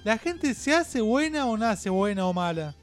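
An Argentine man co-hosting a radio show in rambling, opinionated chat, halting, digressing and repeating himself. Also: high voice, sing-song, soft background music.

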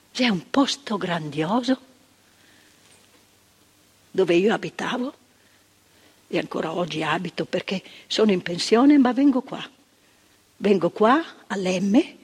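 An older actress, playing a retired psychiatric worker in a stage monologue, talking emphatically with repetitions and asides to the listener. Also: female voice, mid-range voice, darkish tone, breathy edge. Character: retired female psychiatric worker, proud, impassioned, reflective. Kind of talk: theatre monologue. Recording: stage recording with long reverb.

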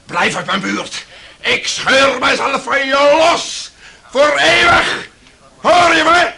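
Frantic, high-pitched raging son. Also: male voice, takes it slowly, creaky, a little hiss or hum, full character voice. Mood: furious, threatening. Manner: shouting, demanding, urgent. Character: violent, frantic son, obsessed and hot-tempered. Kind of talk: radio drama acting.

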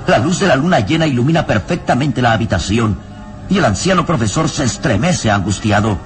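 An actor playing the solemn, suspenseful narrator of a horror radio serial, reading in a dramatic scripted style. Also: male voice, mid-range voice, expressive, a little fast, soft background music, natural voice. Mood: solemn, brooding.

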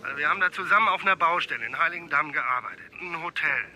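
A man acting a hesitant ordinary caller reporting to police in a radio play, halting and correcting himself. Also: fairly high pitch, sharp tone, slight background noise. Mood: flustered.

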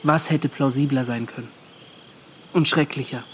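An actor playing a haunted young ship's officer, bitter and agitated, justifying himself in a radio drama monologue. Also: male voice, mid-range voice, fairly even intonation, normal speed, a little hiss or hum, close to an everyday voice. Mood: defensive. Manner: agitated, self-justifying, rhetorical questioning.

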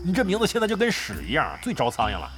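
Brash, highly animated roguish veteran gamer. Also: male voice, racing. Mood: grumbling. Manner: coarse, cocky, exclamatory. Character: crude, brash, scrappy veteran male gamer, roguish grumbler. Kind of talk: Mandarin audio drama acting, comic banter. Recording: studio drama with music underneath.

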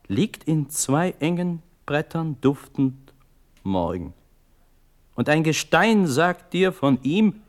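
An actor playing a desperate young prince begging for his life, in fervent, declamatory classical radio-drama verse. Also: fairly high pitch. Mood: anguished, fervent.

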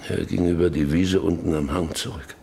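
An actor playing a weary, guilt-ridden middle-aged father in a radio drama, halting and heavy, often sinking toward a whisper. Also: low voice, steady tone, warm tone, slight background noise. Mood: grieving, reflective. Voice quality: creaky, often breaking into whisper. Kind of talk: German radio drama acting, monologue and dialogue.

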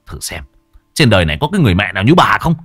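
A man voicing a surly, callous young son who snaps back fast and curt. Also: on the low side, sing-song, a bit bright, husky, no echo, soft background music, exaggerated voice. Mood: belligerent. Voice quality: curt, rapid.

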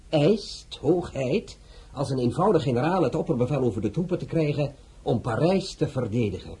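An actor playing a government envoy in a radio play, who speaks in a formal, stiff and deliberate way. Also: male voice, mid-range voice, mellow, husky.

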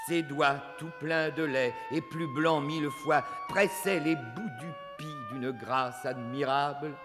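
An actor playing a cowardly, lovesick clown in classical French stage farce, with drawn-out theatrical phrasing and exclamations. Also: male voice, mid-range voice. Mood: lovesick, mock-lyrical. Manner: drawn-out mock-lyrical phrasing with stammers and exclamations. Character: cowardly, boastful, lovesick clown, fawning when frightened. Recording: long hall reverb, music behind.

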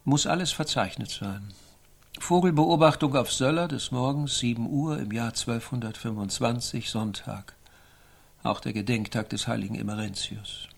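An actor playing a pedantic medieval knight who chronicles birds, with precise, learned archaic diction, in a German radio play. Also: male voice, medium pitch, moderate pace, creaky, breathy edge. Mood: meticulous. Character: pedantic bird-watching medieval knight, fussy chronicler of sparrows.

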